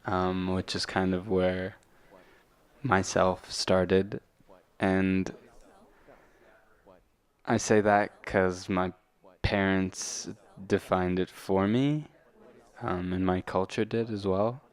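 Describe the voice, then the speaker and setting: Man, low resonant voice, unhurried, halting, thoughtful. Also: flat delivery, clear, resonant tone. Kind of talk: reflective personal talk.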